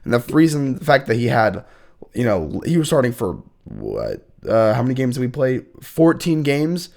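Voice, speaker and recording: Young man, hoarse, sick, sports talk host, rambling, clears throat often, mid pitch. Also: wildly swooping pitch, moderate pace, darkish tone. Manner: rambling, many fillers and restarts, clearing throat. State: sick, hoarse, losing his voice. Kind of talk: casual sports commentary.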